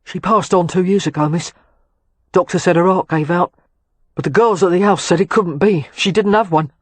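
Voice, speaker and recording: A woman voicing a shy young working-class man from South London, awkward and hesitant, with a thick South London accent and a breathy voice. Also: on the low side, moderate pace, no room sound. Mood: earnest. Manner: awkward, hesitant, plain-spoken.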